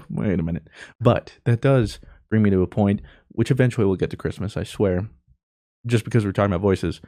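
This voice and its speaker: A man's voice: fairly low pitch, wildly swooping pitch, moderate pace, a little mellow, no room sound, clean studio sound. A young man co-hosting a casual podcast, joking and laughing as he reads listener reviews aloud. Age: young adult. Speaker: podcast co-host.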